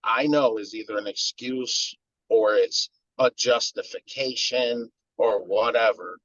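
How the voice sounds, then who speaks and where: A man's voice: mid-range voice, a little slow, fairly bright tone, no echo. Male co-host, heated emphatic ranting, dry close sound, a bit lo-fi. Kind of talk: casual chat.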